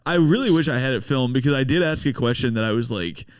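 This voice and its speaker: Smooth-voiced man telling stand-up stories. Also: medium pitch, animated, fast, no echo. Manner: laid-back storytelling, swearing, frequent laughs. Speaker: stand-up comedian guest. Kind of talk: casual podcast chat.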